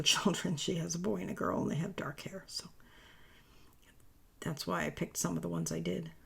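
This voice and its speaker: A woman with a raspy, worn-out voice, chatting her way through a papercraft tutorial voice-over in a rambling, self-correcting way. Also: on the low side. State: voice worn from talking all day.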